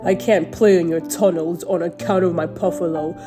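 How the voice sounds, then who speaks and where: A woman's voice: fairly low pitch, steady tone, moderate pace, over music, natural voice. A woman doing a huffy old buffalo grump. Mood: snappish. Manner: gruff, huffy, sing-song chant.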